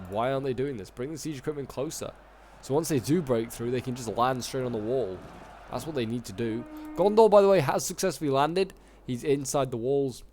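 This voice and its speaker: Male game commentator with a sore throat, mid-pitched, chatty and rambling, faint hiss under the voice. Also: a little mellow. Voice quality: slightly hoarse. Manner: casual, rambling, many fillers. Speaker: gaming YouTuber casting a multiplayer battle.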